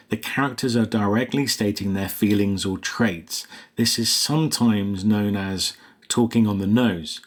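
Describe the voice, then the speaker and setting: Low-pitched man giving a scripted lesson. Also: slightly rough. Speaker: writing coach and host. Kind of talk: scripted instructional narration.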